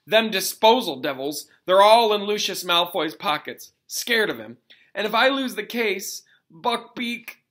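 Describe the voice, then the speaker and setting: A man's voice: high voice, expressive, measured pace, fairly bright tone, voice pushed up. A man voicing a giant, tearful, despairing creature lover from a storybook, with choked, rustic speech. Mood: sobbing, despairing. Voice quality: choked, sobbing delivery. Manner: rustic, dropped-word folksy speech.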